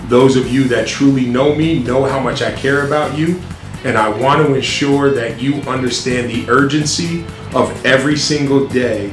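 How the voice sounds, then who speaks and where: Loud, earnest middle-aged man, roomy sound. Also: medium pitch. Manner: loud, earnest, restarts with many ums. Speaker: high school principal, interview guest. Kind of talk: casual interview answers. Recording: roomy sound with music behind.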